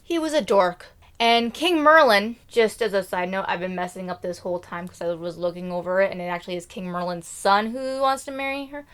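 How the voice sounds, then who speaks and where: A woman's voice: mid-range voice, expressive, brisk, hardly any pauses, fairly bright tone, clean tone. A woman retelling a fairy tale casually and comically, with slangy, theatrical, quirky delivery. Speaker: storyteller.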